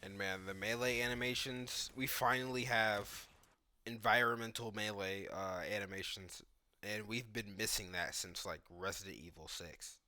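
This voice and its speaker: Forceful-voiced man doing casual gameplay commentary. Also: on the low side, steady tone, moderate pace, a little hiss or hum. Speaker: video game commentator.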